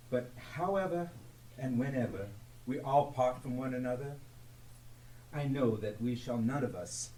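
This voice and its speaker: An actor playing a tender, earnest, grieving family father on stage, with an emotional, drawn-out delivery. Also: medium pitch, mellow, distant mic, lightly acted. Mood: tender grief. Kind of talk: theatrical live stage acting. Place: theatre stage. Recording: echoey, noisy live stage recording from afar.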